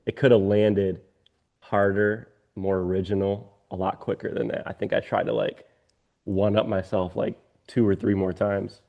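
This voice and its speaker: A man chatting casually as he reviews his own work, halting and self-critical in manner. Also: lowish voice, fairly even intonation, gravelly, highs cut off. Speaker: radio presenter being coached. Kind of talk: casual conversation.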